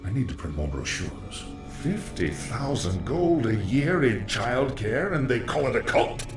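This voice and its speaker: A man playing a wry necromancer cult leader in a video game, speaking in a theatrical, drawn-out way. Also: medium pitch, sing-song, over music. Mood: wry, zealous. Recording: echoing game voice clip.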